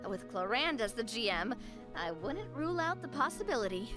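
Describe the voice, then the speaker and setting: A theatrical young woman in a fantasy game. Her voice is high and swings widely as she chats expressively. Faint music sits behind her. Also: moderate pace. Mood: thoughtful, playful. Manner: expressive, chatty.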